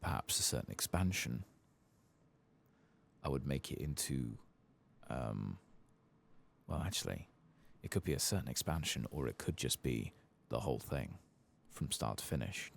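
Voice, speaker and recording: A man giving soft-spoken ASMR commentary on a video game, unhurried and often slipping into a breathy whisper. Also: very deep voice, animated.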